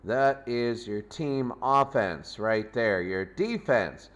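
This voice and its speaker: A man hosting a sports pregame show, commenting in a loose, unscripted and halting way. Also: medium pitch, sing-song, fairly bright tone, dull audio. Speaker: sports host.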